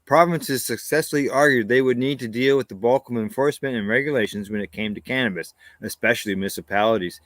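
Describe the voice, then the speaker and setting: A man's voice: mid-range voice, no room sound. A man from Canada co-hosting a news show, reading stories steadily and chatting casually. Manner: plain, steady reading with short agreeing asides. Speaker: co-host reading cannabis news.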